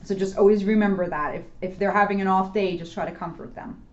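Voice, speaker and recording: A woman's voice: mid-range voice, fairly even intonation, fast, darkish tone. A woman, a police officer, adding casual explanatory asides during a children's story reading. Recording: dull lo-fi sound with background noise.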